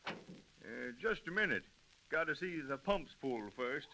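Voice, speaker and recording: An actor playing a kindly, plucky old blind gas station owner in an old-time radio drama, with folksy, hesitant speech. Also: male voice, on the high side, expressive, unhurried. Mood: patient, friendly. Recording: noisy, clicking old radio drama recording.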